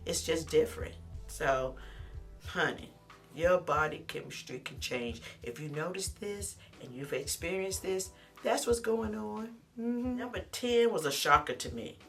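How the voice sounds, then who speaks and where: A middle-aged woman talks straight to camera with a deep but bright, ringing voice, chatty and animated. She calls the viewer "honey", and soft music plays faintly behind her. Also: measured pace, vocal fry. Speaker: lifestyle vlogger. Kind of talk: casual talk to camera.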